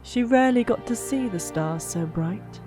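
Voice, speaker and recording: A narrator reading a story in a dramatic, expressive way, with drawn-out pauses for emphasis. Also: mid-range voice. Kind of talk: dramatic scripted audiobook narration. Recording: old mic, background music. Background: music.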